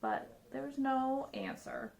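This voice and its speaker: Woman, fairly high voice, children's storyteller, expressive read-aloud, dramatic varied delivery. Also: slow, gravelly. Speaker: storyteller reading a picture book aloud.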